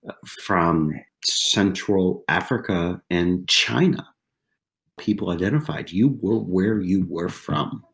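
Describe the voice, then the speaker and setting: A man in his forties from southwestern Pennsylvania, a podcast guest telling stories in a casual, conversational way, often repeating phrases and tagging lines with "right?". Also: low-pitched. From southwestern Pennsylvania, USA. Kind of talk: casual interview storytelling.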